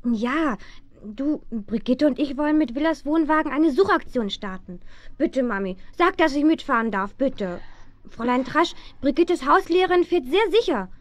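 An actress playing an eager, excitable, impulsive teenage girl detective in a children's radio play. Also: high-pitched, moderate pace, no room sound. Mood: pleading, earnest.

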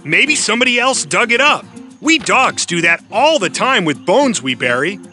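A high playful cartoon dog. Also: male voice, very animated, normal speed, sharp tone, heavily acted. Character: friendly talking male cartoon dog. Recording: cartoon with music behind.

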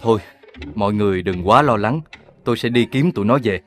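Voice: mid-range voice, fast, fairly bright tone, soft background music, lightly acted. An actor voicing a grown-up dog in a children's cartoon, emphatic and theatrical in delivery. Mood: concerned. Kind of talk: animated children's cartoon dubbing.

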